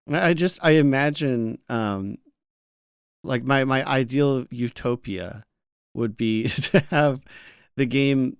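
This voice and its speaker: A man's voice: medium pitch, slow pace, clean tone, no room sound, studio quality. A man co-hosting a casual gaming discussion, with a playfully teasing way of talking. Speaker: podcast co-host.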